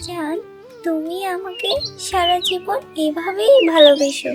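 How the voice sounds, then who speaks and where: A woman's voice: very high voice, measured pace, voice pushed up. A woman voicing a loving but possessive, tight-fisted songbird wife and mother, with emotional, insistent delivery in a sentimental cartoon. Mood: adoring. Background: music and birdsong.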